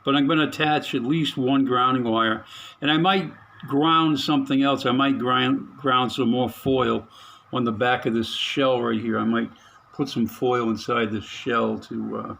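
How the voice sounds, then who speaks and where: A middle-aged man casually narrating his radio repair in a small spare room at home, halting with fillers and soft chuckles. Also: medium pitch, fairly even intonation, vocal fry, a little hiss or hum. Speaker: radio hobbyist vlogger.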